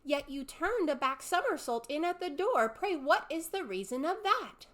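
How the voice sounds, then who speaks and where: A woman's voice: high-pitched, very animated, moderate pace, fairly bright tone, pitched above the performer's own voice. A woman voicing a cheeky, inquisitive young man who recites questioning verse in a storybook reading. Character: cheeky, inquisitive youth questioning his old father.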